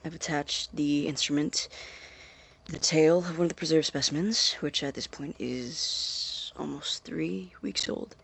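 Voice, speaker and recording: An actor playing an obsessive scientist in an audio drama, dictating a log in a clinical, precise but halting husky voice. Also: male voice, a little high, fairly bright tone, exaggerated voice. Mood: focused, expectant. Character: obsessive male scientist logging unethical experiments on tape.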